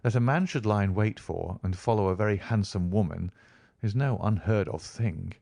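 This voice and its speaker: A man narrates a classic story in a fairly low voice. His reading is measured and formal, and the sound is dry, with very little room. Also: gravelly, dark tone, no echo. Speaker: audiobook narrator. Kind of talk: scripted literary narration.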